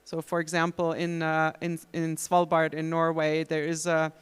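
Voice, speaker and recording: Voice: mid-range voice, monotone, normal speed, clean tone. A panelist explaining a technical setup steadily and methodically at a conference. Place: conference hall. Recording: reverberant conference hall.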